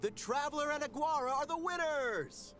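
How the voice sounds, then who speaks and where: High-pitched excited tournament announcer. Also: male voice, normal speed. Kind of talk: video game dialogue. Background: game music.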